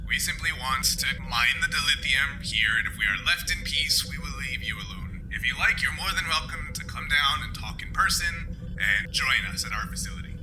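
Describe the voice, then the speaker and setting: A man voicing an older Klingon administrator in a tabletop game, courteous and authoritative, speaking in a formal, measured, diplomatic way. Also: mid-range voice, natural voice, rough recording. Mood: courteous, assured. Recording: music playing behind the voice.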